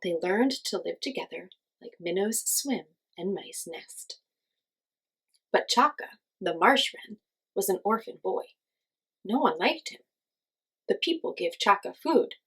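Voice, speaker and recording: A woman reading a children's story aloud as host, in an expressive storytelling style. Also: mid-range voice, unhurried, breathy edge, low-quality recording.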